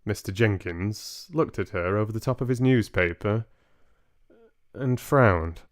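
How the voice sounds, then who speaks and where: A man reading a story aloud as narrator, in an expressive storytelling style. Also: on the low side, measured pace. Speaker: audiobook reader, livestream host.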